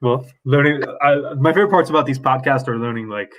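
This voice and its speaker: A man's voice: mid-range voice, quick pace, fairly bright tone. A man hosting a podcast, easygoing and curious, chatting casually with his guest. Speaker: podcast host. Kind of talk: casual interview chat.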